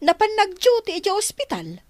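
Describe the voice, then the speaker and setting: Lively, nosy older Filipino auntie played by actress. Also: female voice, very high voice, a little fast, no room sound, heavily acted. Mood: surprised, prying. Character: chatty, nosy older neighbourly aunt. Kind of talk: radio drama acting.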